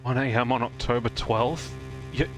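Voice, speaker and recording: A woman's voice: rumbling low, fairly even intonation, normal speed, faint music behind. A woman voicing a flustered, exasperated young male defense lawyer in a game fan dub. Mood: shocked.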